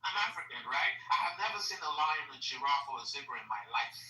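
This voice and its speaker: Nigerian woman in her forties, animated. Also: rumbling low, fast. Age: 40s. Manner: emphatic, insistent, repeats herself while making a point. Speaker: discussion participant. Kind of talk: heated conversational debate. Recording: roomy echo, distant mic.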